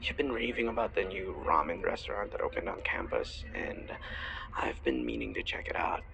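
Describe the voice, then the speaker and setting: A man playing an affectionate, playful university student best friend in an intimate roleplay, talking softly and a little hesitantly in a husky voice. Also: deep voice, very animated, moderate pace, background noise, heavily acted. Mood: casual, playful. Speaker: audio roleplay voice actor. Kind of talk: intimate boyfriend ASMR roleplay.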